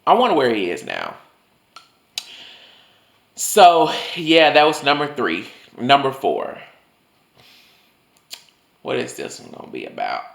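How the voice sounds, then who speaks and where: A man's voice: huge pitch swings, slow, creaky, pauses often, a bit bright. Casual young male vlogger, talky and profane, mid-range voice that drifts up and down; roomy echo. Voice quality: voice swings higher and lower. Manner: chatty, loose, full of "like" and swearing. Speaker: vlogger telling personal stories. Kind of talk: casual storytelling monologue.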